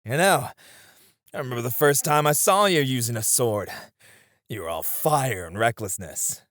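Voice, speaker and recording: A man's voice: mid-range voice, animated, moderate pace, fairly bright tone, no room sound, clean studio sound. A man playing a seasoned knight, a wistful, teasing sparring comrade in a romantic roleplay, with reflective, hesitant phrasing. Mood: wistful, sincere. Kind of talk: romantic ASMR roleplay acting.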